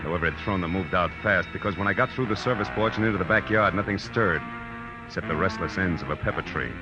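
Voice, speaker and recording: An actor playing a hard-boiled, sardonic American private detective in 1940s radio crime drama style, with terse, tough talk. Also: male voice, deep voice, fast talker, lightly acted. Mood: tense narration. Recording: muffled old radio recording, loud music.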